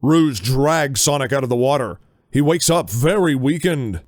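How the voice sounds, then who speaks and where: A man's voice: mid-range voice, animated, normal speed, darkish tone, no echo, studio quality. A man reading scripted narration for an audio drama.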